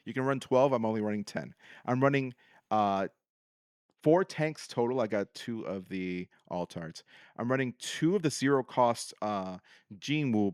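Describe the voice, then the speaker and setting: A man's voice: lowish voice, fairly bright tone, no room sound, clean studio sound. A man hosting a podcast, casually recapping his hobby in a solo talk. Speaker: podcast host. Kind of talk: casual solo recap of card game decks and matches.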